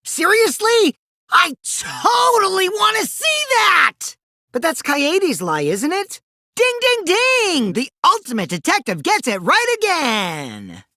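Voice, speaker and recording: A man's voice: extremely high pitch, wildly swooping pitch, slow, bright, forceful tone, no echo, studio quality, heavily acted. An actor voicing a mischievous, taunting teenage boy who lies for fun in a video game, theatrical and teasing, swinging from sly to gleeful. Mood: giddy, teasing. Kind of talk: animated video game voice acting.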